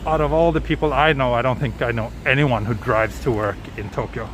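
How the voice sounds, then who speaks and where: A man's voice: mid-range voice, steady tone, fairly quick, fairly bright tone. A man with a Swedish accent hosting a live walking tour, chatting casually and answering viewer questions with hesitant, thinking-aloud restarts. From Sweden. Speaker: livestream walking tour host. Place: city streets outdoors. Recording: outdoor traffic noise.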